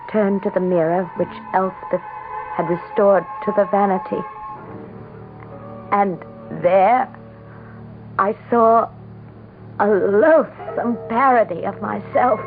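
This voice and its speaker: An actress playing a tormented, frightened governor's wife in a radio drama, dramatic and halting in her delivery. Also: mid-range voice. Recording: dull lo-fi radio drama with loud music.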